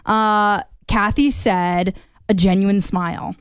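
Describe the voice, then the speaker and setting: A woman's voice: mid-range voice, fairly even intonation, takes it slowly, clean tone, dry recording, clean studio sound. A woman hosting a radio show, upbeat and steering the chat as she reads out listener comments. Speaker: radio host. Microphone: studio mic.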